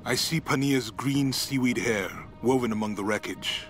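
A man's voice: medium pitch, moderate pace, soft background music, natural voice. An actor playing a solemn, haunted, mystical man, brooding and poetic in a game monologue. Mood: haunted longing. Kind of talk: dramatic game voice acting, monologue.

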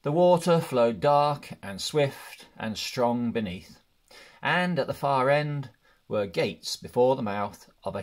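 Male storybook narrator, mid-range pitch, slow and deliberate read. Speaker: audiobook reader.

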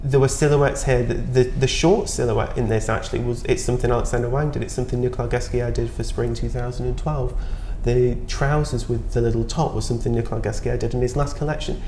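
Measured male fashion critic, roomy studio. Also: medium pitch, steady tone, mellow. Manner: measured, firm, self-critical, hesitant restarts. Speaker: fashion journalist, panelist. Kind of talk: critical panel discussion. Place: bright studio set, roomy. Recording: echoey studio room, faint hiss.

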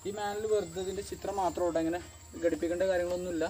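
A young man talks quickly and casually, walking viewers through a gym bench build one step at a time. He is recorded outdoors in a leafy yard by a camera set at a distance. Music plays clearly beneath his voice. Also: high-pitched, fairly even intonation, mellow. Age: young adult. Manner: chatty, explains step by step while assembling. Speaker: fitness vlogger. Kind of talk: casual how-to commentary. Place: outdoor garden yard among banana trees. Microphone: camera on tripod at a distance.

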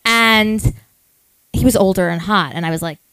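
A woman's voice: medium pitch, normal speed, fairly bright tone, smooth, no echo. A woman in her thirties, a podcast co-host, telling a long rambling story with lots of asides at a live event.

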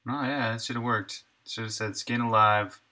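A man's voice: medium pitch, steady tone, moderate pace, pushed and bright. A man in his thirties, a game developer thinking aloud casually on a live stream while coding, muttering to himself in short fragments at his desk. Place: small room indoors, at a computer desk.

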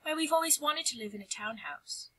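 A woman voicing an excitable little female country mouse, wistful and eager, in a storybook reading. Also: high-pitched, brisk, voice pushed up, poor audio.

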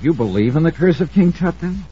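Husky, fast-talking American radio investigator. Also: male voice, on the high side, lively intonation, soft background music. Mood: doubtful, wry. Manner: brisk, colloquial, doubting. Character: skeptical, hard-nosed male insurance investigator questioning a client. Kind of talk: old-time radio drama acting. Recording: dull lo-fi vintage radio recording.

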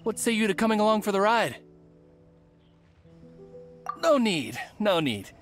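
Shifty young merchant-bandit, animated intonation, anime game villain, music underneath. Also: male voice, sits high, measured pace. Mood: falsely friendly. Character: smooth-talking young male bandit posing as merchant. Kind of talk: anime video game acting.

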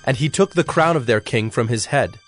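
A man narrating scripture in a measured, formal way as a scripted reading. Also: mid-range voice, animated, soft background music.

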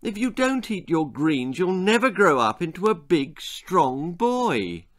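An anxious storybook mother, acted out by a man, pitched well up high. The delivery is plaintive and sighing, with big swoops of worry. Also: very animated, a little slow, a bit bright, full character voice. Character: anxious, wailing mother of a naughty boy.

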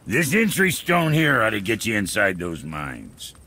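An actor playing a brash, grumbling dwarf blacksmith in a video game, with a folksy, blunt delivery. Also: male voice, on the low side, wildly swooping pitch, unhurried, pushed and bright, a little hiss or hum, exaggerated voice. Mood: grumbling, needling. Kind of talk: video game character acting.

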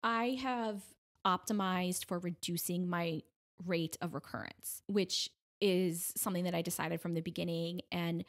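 A middle-aged woman speaking conversationally as an interview guest, telling her story in an animated way and often voicing quoted remarks. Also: lowish voice, a little slow. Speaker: podcast guest, breast cancer advocate.

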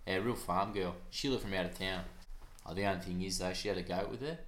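A dim, eager young Aussie neighbour rambles in a lowish, bright voice, earnest and easily led. He agrees to anything with a naive eagerness. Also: fast, a little hiss or hum. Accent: Australian. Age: young adult. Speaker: actor playing Denzel, the neighbour. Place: small suburban flat indoors.

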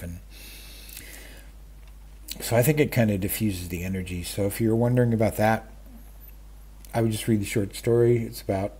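A man in his fifties or older chatting casually about stories, halting and interrupting himself in a self-deprecating way, with a husky voice. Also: fairly low pitch, mellow. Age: 50s or older. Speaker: book vlogger. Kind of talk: casual rambling chat about stories and radio. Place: small room at home, indoors. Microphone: webcam framing, wearing wired earphones.

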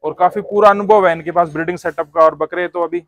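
A man with a Rajasthani Hindi accent hosts a promotional walkthrough of a farm. He talks quickly in a voice that sits a little high, piling up praise with keen energy. He is recorded outdoors in a farmyard. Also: fairly even intonation, fairly bright tone, no echo. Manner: enthusiastic, showcasing, repeats praise words. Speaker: host of a goat farm video channel. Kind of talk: fast promotional interview on a farm. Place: outdoor goat farm yard.

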